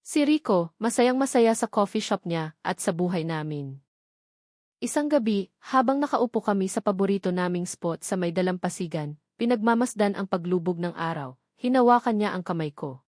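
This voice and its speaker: Woman narrating a romance story, even heartfelt delivery, super dry sound. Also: medium pitch, moderate pace, dry recording. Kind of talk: scripted first-person love story narration.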